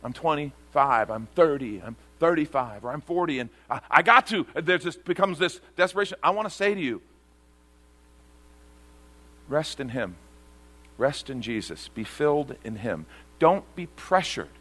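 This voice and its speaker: A man's voice: on the high side, animated, normal speed, fairly bright tone. Earnest man preaching a sermon. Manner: earnest, repeats key phrases for emphasis. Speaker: pastor. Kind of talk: sermon to a congregation.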